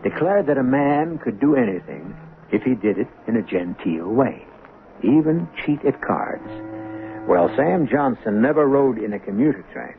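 A man playing an urbane mystery host, narrating a scripted radio drama in a wry, measured, conversational way. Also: mid-range voice, lively intonation, slight background noise, natural voice. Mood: wry, intriguing. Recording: muffled phone-like old radio recording. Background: music.

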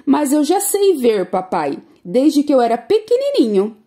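A woman's voice: high-pitched, big pitch swings, a little slow, mellow. A woman voicing a small, curious little boy who asks eager questions in a storybook reading.

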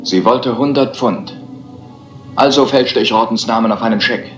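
An actor playing a cold, suave, scheming murderer with a sardonic manner in a crime drama. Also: male voice, medium pitch, moderate pace, natural voice. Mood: confessing, bitter. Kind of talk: German-dubbed crime film acting. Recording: roomy echo, music underneath.

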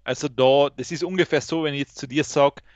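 A man's voice: a little high, steady tone, fairly quick, darkish tone, no room sound, muffled recording. A man with an Austrian accent chatting casually as a podcast co-host, explaining things in colloquial speech. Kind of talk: casual chat.

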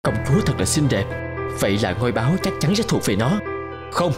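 A man's voice: medium pitch, very animated, brisk, over music, natural voice. A man voicing a jealous older prince in a cartoon, scheming in a sneering, conspiratorial way.

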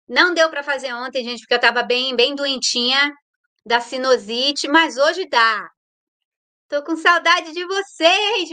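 A woman with a Brazilian Portuguese accent hosts a home livestream, greeting her viewers one by one in a chatty, playful way. Her voice sits high and sounds bright, and it is picked up by a simple computer mic. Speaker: livestream host. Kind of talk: casual live chat greeting viewers.

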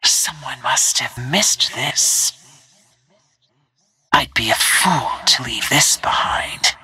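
An actress playing a cold, ruthless female assassin in a video game, speaking in a breathy, menacing, self-assured way with clipped phrases. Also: rumbling low, lively intonation, slow pace, sharp tone, no echo, exaggerated voice. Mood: brisk, businesslike. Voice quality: breathy, whispery. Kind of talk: video game character voice lines.

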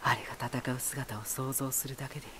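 An actor playing a teasing, talkative lighthouse spirit in an anime-style voice drama, boyish and self-assured with an unsettling edge. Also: male voice, medium pitch, fairly even intonation, a little fast, background noise, close to an everyday voice. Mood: cool, ominous. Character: playful male lighthouse spirit, teasing, talkative, eerily battle-hungry.

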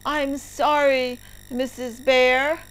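A woman reading the part of a kindly, easygoing frontier tree planter in a children's story, with deliberate, emphatic phrasing. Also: high-pitched, level tone, a bit bright, pitched above the performer's own voice, full character voice. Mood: apologetic. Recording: clearly noisy recording with clicks.

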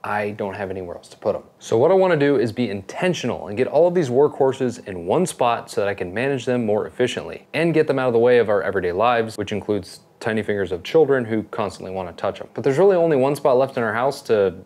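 A man in his thirties hosting a home workshop channel, explaining his projects in a brisk, upbeat, practical way on a lapel mic. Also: fairly low pitch, expressive, darkish tone. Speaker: woodworking and 3D printing channel host. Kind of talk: casual shop vlog narration. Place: home basement and garage workshop.

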